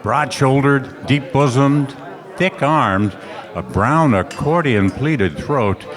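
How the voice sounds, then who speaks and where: A man's voice: mid-range voice, slow pace, a crowd in the background, natural voice. A man playing a wisecracking hard-boiled private eye, wry, tough and slangy, in a noir radio play. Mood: wry, narrating. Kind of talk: noir radio play narration and dialogue on stage. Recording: reverberant hall stage recording.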